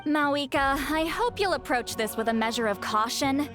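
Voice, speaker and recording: Game role: worried young shaman, earnest, slightly high voice. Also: animated, normal speed. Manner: worried, earnest, a touch formal. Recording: game audio over soft music.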